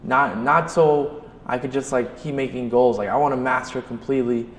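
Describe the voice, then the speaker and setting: A man's voice: medium pitch, steady tone, normal speed, roomy sound, long reverb tail, slight background noise. A young student man talking about mastering challenges, repeating himself as he goes. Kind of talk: interview answer.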